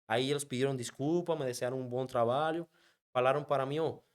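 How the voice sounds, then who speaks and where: A man from Cuba, a native Spanish speaker with a Cuban accent, telling a story in a casual interview and slipping Spanish words into his Portuguese. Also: medium pitch. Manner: animated, quick, mixes Spanish words into Portuguese. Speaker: guest, app driver.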